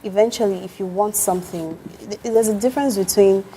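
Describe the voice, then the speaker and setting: A woman's voice: medium pitch, a little fast, dark tone, a little hiss or hum. A woman with a Nigerian accent hosting a casual panel talk show.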